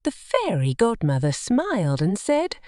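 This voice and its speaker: A woman narrating a scripted children's bedtime story in an expressive, measured storytelling manner. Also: mid-range voice, dry recording, studio quality.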